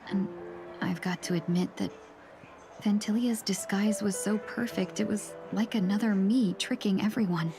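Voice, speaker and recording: A woman voicing a soft, courteous fox woman. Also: medium pitch, normal speed, over music, close to an everyday voice. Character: graceful fox-eared woman, poised and mysterious.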